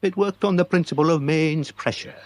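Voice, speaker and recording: A man's voice: fairly high pitch, brisk, natural voice. A man playing a self-important police sergeant in a radio drama, officious and stiltedly formal. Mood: solemn, proud.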